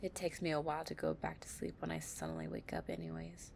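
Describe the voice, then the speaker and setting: A woman playing a possessive young gang-leader girlfriend, tough but tender, in an intimate, soft-spoken audio roleplay. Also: low voice, sing-song, fast, vocal fry, a little hiss or hum, full character voice. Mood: affectionate, drowsy.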